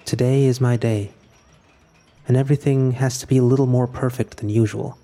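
Mellow, resigned man narrating over music, acted. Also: mid-range voice, fairly even intonation, normal speed, natural voice. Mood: quiet resignation. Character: resigned, solitary man narrating his last day. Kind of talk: scripted first-person audio fiction narration.

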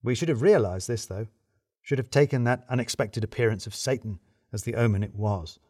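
A man reading a scripted comic mystery in the first person, with wry, theatrical emphasis and deliberate pauses. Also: mid-range voice, a little mellow, slightly rough, dry recording, clean studio sound. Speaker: audiobook reader narrating in first person as an office worker.

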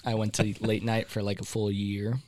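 A man's voice: on the low side, monotone, moderate pace, a little mellow, dry recording, clean studio sound. A man in his twenties, a podcast guest chatting in a joking, easygoing way. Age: 20s. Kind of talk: casual chat.

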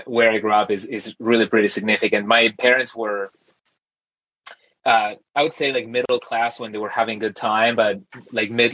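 Man, lowish voice, relaxed chat. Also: poor audio. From Argentina. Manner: relaxed, talkative, frequent fillers and restarts. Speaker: guest, tech founder. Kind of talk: casual interview conversation.

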